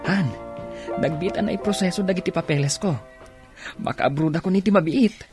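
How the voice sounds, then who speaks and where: An actor playing an ardent young Filipino boyfriend in a radio drama, with a husky, breathy voice and an Ilocano accent. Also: male voice, sits high, moderate pace, over loud music, close to an everyday voice. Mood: earnest, reassuring.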